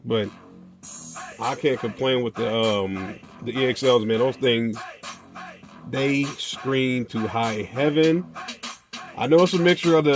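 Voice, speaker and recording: An American man hosting a car audio video, chatting casually to viewers in a colloquial, slangy way and often tagging phrases with "man". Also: mid-range voice. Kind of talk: casual unboxing and product chat to viewers.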